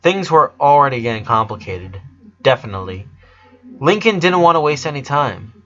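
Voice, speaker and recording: A man reading a story aloud as an expressive narrator, voicing dialogue between passages of prose. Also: mid-range voice, normal speed, muffled recording. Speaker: narrator reading fan fiction.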